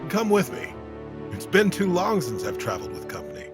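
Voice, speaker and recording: A man's voice: high voice, huge pitch swings, brisk, over music, full character voice. A man playing an earnest, loving monster-slaying warrior in a fantasy audio drama. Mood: earnest, tender. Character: armored heroic male monster slayer, loving and earnest.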